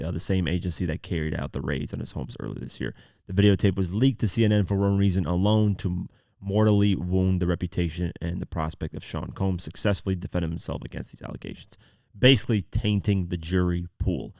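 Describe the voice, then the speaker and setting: Very deep-voiced man with a sore throat. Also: gravelly, no room sound, clean studio sound. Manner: informal, conversational, reads articles aloud then comments. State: sore throat, voice not at full strength. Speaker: podcast host. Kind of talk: casual news commentary podcast.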